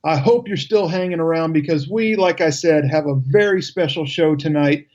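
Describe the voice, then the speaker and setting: A man's voice: on the high side, moderate pace, a little mellow, lo-fi sound. A man hosting a live radio talk show in a casual, chatty style.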